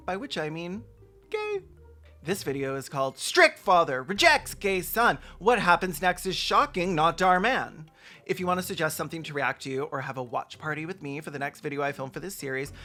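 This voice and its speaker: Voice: mid-range voice, lively intonation, normal speed, bright, forceful tone. A person giving bawdy, sarcastic comedic commentary as the host of a reaction video, in a campy manner. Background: background music.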